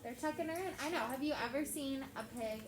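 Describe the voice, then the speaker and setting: A woman's voice: sits high, fast talker. A young woman teaching a class of children, reading a story aloud and asking the kids lots of questions in a chatty way. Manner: chatty, asks the kids many questions, heavy use of "like". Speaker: elementary school teacher. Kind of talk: classroom read-aloud lesson. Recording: echoey classroom with background noise.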